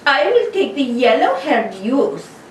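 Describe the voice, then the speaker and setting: A woman voicing a haughty, scheming princess with an imperious, drawn-out delivery in a comic table read of an old radio serial. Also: sits high, big pitch swings, roomy sound, far from the mic, noisy background, heavily acted.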